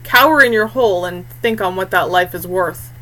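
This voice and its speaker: A woman's voice: fairly low pitch, moderate pace, low-quality recording. Woman as a bellowing scornful undead warrior chief. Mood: scornful, commanding. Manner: loud battlefield bellow, formal and contemptuous.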